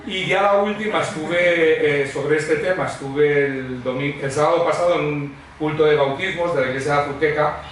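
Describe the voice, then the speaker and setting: A middle-aged or older man gives a personal testimony to a church congregation in a conversational, expressive, digressive way, telling humorous anecdotes. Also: a little high, moderate pace, hardly any pauses. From Madrid, Spain. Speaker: guest preacher giving testimony. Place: church hall before a congregation. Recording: very echoey hall, distant mic, faint noise.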